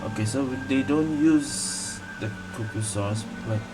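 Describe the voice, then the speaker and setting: A woman's voice: rumbling low, slow, loud music behind the voice, poor audio. A woman giving casual, muttered commentary as she plays a video game, with heavy noise behind her. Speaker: game streamer. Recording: heavy background noise, game audio underneath.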